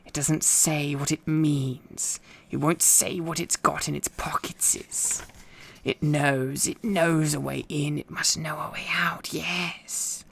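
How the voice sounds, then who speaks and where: A wretched, obsessive cave creature mutters to itself in a storybook tale. The voice is a raspy, breathy whisper, thick with creak and hiss. It drags its words out slowly, repeating them in broken grammar. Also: male voice, on the high side, steady tone, fairly bright tone, exaggerated voice. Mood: suspicious, scheming. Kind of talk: acted audiobook reading.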